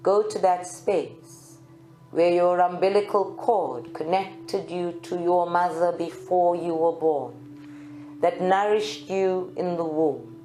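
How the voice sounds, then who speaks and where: Female meditation guide, very slow and deliberate, recorded live in a roomy space with faint music. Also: on the low side, warm tone. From South Africa. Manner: slow, deliberate phrases with long pauses. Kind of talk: guided meditation, spiritual channeling. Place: group meditation room at a centre.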